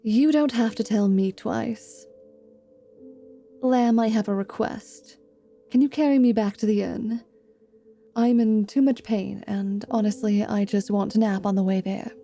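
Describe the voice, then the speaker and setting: Slow, haughty half-vampire woman, acted by a woman. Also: mid-range voice, steady tone, faint music behind, lightly acted. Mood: tired, sheepish. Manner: sardonic, sharp-tongued, swearing. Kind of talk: fantasy ASMR roleplay acting.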